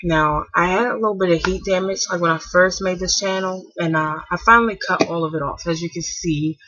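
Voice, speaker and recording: A woman in her twenties chatting casually to camera at home. She rambles with lots of fillers and restarts, and keeps her voice soft so she won't wake a napping child. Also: fairly low pitch, brisk, breathy edge, highs cut off. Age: 20s. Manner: speaking softly to keep a napping child asleep. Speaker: hair vlogger.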